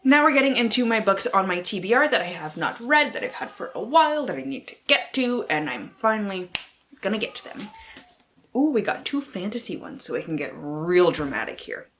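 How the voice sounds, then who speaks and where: A woman's voice: medium pitch, fairly bright tone, slight background noise. A woman book vlogger who mixes casual, slangy chat with mock-dramatic blurb reading and playful, sweary asides to herself.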